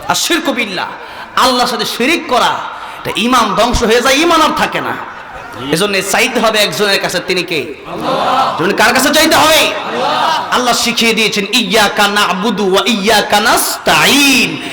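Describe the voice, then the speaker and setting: A man with a Bangladeshi accent preaching an Islamic sermon, forcefully exhorting listeners with repeated rhetorical questions. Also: sits high, animated, normal speed, a crowd in the background. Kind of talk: impassioned waz sermon with call-and-response questions and Arabic recitation. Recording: echoing PA with long reverb.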